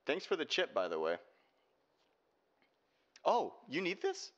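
A man's voice: fairly high pitch, huge pitch swings, measured pace, fairly bright tone, highs cut off, full character voice. A man playing a protective, cocky young cyberpunk hacker and boyfriend in a roleplay audio drama, talking casually and sarcastically. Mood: cocky, taunting. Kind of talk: roleplay audio drama, close and hushed in places.

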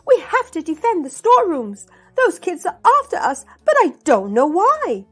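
A woman's voice: very high voice, wildly swooping pitch, moderate pace, a bit bright, faint music behind, voice pushed up, exaggerated voice. A woman voicing a worried, defiant male ant general in a storybook, urgent and emphatic.

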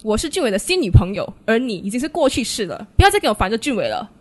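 Radio drama villainess acted by a woman, young, haughty, scornful, quick, swooping pitch, brash. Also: very animated. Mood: contemptuous. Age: young adult. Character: haughty, venomous young female love rival.

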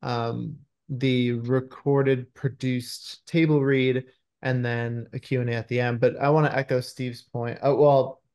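A man's voice: medium pitch, level tone, measured pace, darkish tone. Effusive man in a video-call interview. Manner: effusive, thoughtful, searching for words. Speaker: screenwriter being interviewed. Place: indoors in a room at home, on a video call. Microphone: computer video-call microphone.

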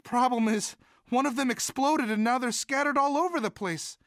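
A man's voice: extremely high pitch, normal speed, a bit bright, no echo, clean studio sound. A man voicing a timid, sweet, eager young student monster in a video game, boyish, polite, earnest and hesitant. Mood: sheepish, pleading. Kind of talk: video game character voice lines.

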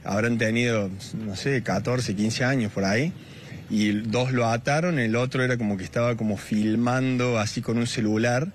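A man's voice: mid-range voice, normal speed, slight background noise. A man in his thirties with an Argentine accent, a neighbor telling a reporter what he saw, stumbling and repeating words. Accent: Argentine Spanish. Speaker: neighbor and witness. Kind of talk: casual interview answers. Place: outdoors on a street. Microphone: reporter's handheld mic.

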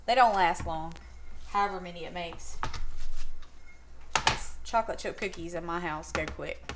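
A woman's voice: on the low side, a little slow. A middle-aged woman talking in a casual, folksy way to a camera a step or two away in her home kitchen, as host of a cooking demonstration. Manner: chatty, folksy, informal slangy phrasing. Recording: clearly noisy home recording with clicks.

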